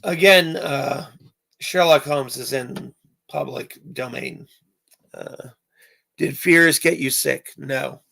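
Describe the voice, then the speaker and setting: Man, hoarse, gravelly, casual stream chat, rambling with pauses. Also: medium pitch, pushed and bright. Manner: rambling, many filler pauses.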